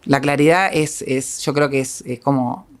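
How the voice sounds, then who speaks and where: A woman with a Uruguayan accent who works as a film producer, answering as a panel guest in a halting, self-deprecating way as she thinks aloud. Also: extremely low pitch.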